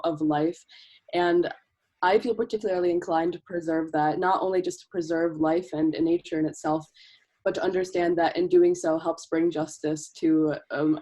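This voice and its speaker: Mellow-voiced woman from Brazil. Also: low-pitched, low-quality recording. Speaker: panel speaker. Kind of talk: casual talk.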